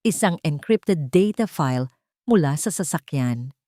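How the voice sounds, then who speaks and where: Woman, Filipino accent, dramatic story narrator, lowish voice, animated intonation, dry close sound. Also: unhurried, no echo.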